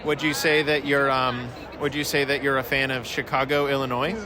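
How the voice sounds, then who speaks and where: A man's voice: mid-range voice, flat delivery, moderate pace. A man casually interviewing attendees on a convention floor, asking questions in a joking, offhand way. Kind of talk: casual vox-pop interviews. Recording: crowd noise on a convention floor.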